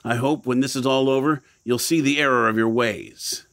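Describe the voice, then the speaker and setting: Man acting an earnest, mid-pitched elder brother. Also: steady tone, moderate pace, fairly bright tone, natural voice. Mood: regretful. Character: sorrowful, principled elder brother. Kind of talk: audio horror drama acting.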